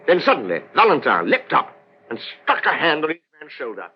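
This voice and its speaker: An actor narrating an old radio drama, addressing the listener in formal, descriptive, wry literary style. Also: male voice, fairly high pitch, huge pitch swings, fairly quick, a little hiss or hum. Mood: measured, wry. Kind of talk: scripted dramatic storytelling, old-time radio. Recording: dull old radio recording.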